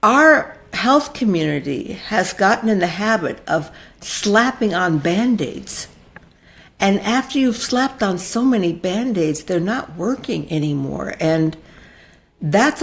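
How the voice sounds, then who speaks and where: Mature female podcast host, slightly low gravelly voice, conversational, acts out quotes as she retells. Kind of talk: conversational interview.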